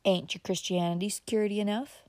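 A woman reading an audiobook voices a fat, folksy old country man who talks in rural dialect, loud and excitable. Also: mid-range voice, fast talker, dry recording, lightly acted. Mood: insistent.

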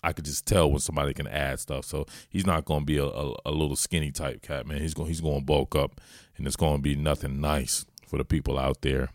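Southern guy doing casual football talk, deep voice, slangy, dry close sound. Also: steady tone, fast talker, no echo. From southern United States. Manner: slangy, informal, familiar address to listeners. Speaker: host, football analyst. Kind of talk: casual solo sports commentary.

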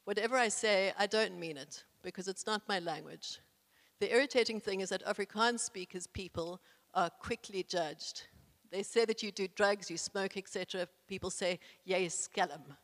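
A woman's voice: mid-range voice, normal speed. A young South African woman, first language isiXhosa, reads a personal essay aloud in an earnest, proud way with careful phrasing. Her voice sits in a middle register and carries a long reverb from the room she is in. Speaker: speaker reading her own essay.

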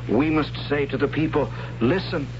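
A man's voice: fairly high pitch, moderate pace, close to an everyday voice. Guy acting young tribesman pleading urgently, echoey hall sound, old radio drama with noisy background. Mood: earnest urgency. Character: earnest, urgent young tribesman Gold of a future age. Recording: muffled, noisy old radio recording, long reverb.

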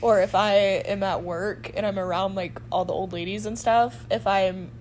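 A woman from Texas with a softened Texan accent, co-hosting a podcast in casual chat, giggling and drawing out words. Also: mid-range voice, steady tone, normal speed, slight background noise. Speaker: podcast co-host.

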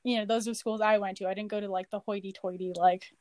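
A woman's voice: medium pitch, fairly even intonation, fast talker, a little mellow, dry recording. A young woman from Southern California hosting a podcast, chatting casually and animatedly with many fillers. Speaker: podcast host. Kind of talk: casual chat.

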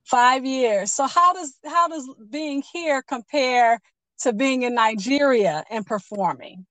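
A woman's voice: sits high, slow, a bit bright. A woman hosting a radio interview, addressing listeners and encouraging her guest by echoing answers back. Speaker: radio show host.

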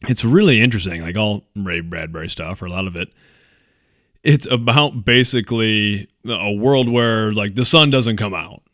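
A man's voice: on the low side, normal speed, a bit bright, no room sound, studio quality. A man co-hosting a podcast in casual chat, telling things in a laid-back, conversational way. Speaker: podcast host.

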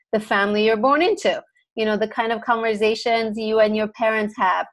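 A middle-aged woman from Turkey speaking conversationally as an expert podcast guest, explaining things. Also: fairly high pitch.

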